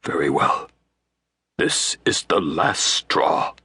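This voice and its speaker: Gray-haired uncle, stern and pompous, as a male narrator plays him; dry, expressive delivery. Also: low-pitched, slightly rough, dry recording, pitched below the performer's own voice, full character voice. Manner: grandiloquent, slow and stern.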